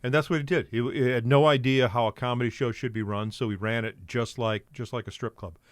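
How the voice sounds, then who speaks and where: A man's voice: mid-range voice, steady tone, fairly quick, no echo. An older man, a guest comedian, telling rambling stories in a casual podcast chat with lots of fillers and restarts.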